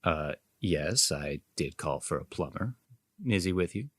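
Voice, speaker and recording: A man's voice: a bass voice, expressive, unhurried, breathy edge, no room sound, full character voice. A man playing a condescending, sexist wealthy homeowner with a haughty, insinuating manner in an audio drama. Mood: taken aback, doubtful. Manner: hesitant fillers, haughty, insinuating.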